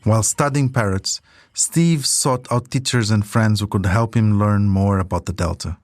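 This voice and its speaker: Low-voiced man narrating a documentary podcast. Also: normal speed, no room sound, studio quality. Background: music.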